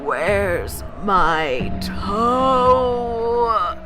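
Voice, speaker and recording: A woman voicing a menacing undead creature in a bedtime horror story, groaning slowly and drawing out each word. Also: medium pitch, animated, music in the background. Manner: slow drawn-out groaning. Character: groaning undead voice hunting its stolen toe, menacing.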